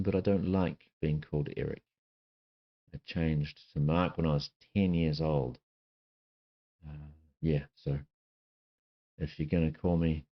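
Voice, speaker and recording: A man in his fifties from New Zealand, with a Kiwi accent worn down by years abroad, introducing himself in a halting, unscripted way full of fillers and self-corrections. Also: extremely low pitch, dull audio. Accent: New Zealand, softened by years abroad. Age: 50s. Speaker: channel host. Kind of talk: casual self-introduction to camera. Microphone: laptop with webcam and desk microphone.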